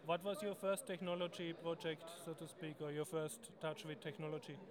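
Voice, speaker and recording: A man's voice: fairly high pitch, fairly even intonation, normal speed, crowd noise. A man interviewing a girl, often restarting and rephrasing his questions. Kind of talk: casual interview.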